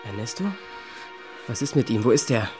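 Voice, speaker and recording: A man's voice: lowish voice, normal speed, darkish tone, natural voice. Man acting a grumpy young military cadet over music. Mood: alarmed. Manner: sleepy, irritable, dismissive. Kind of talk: German radio drama acting.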